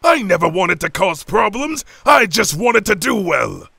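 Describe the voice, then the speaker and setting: A man's voice: sits high, very animated, a little slow, vocal fry, husky, no room sound, exaggerated voice. An actor playing an exasperated, defensive male god burdened with authority in an audio drama, swinging between pleading and muttering. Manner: volatile, from pleading outbursts to hushed muttering.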